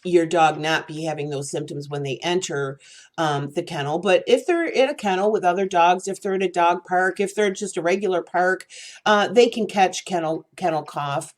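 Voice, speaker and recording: A woman's voice: on the low side, moderate pace. A woman hosting a pet care channel, giving casual advice in a rambling, chatty way with many fillers.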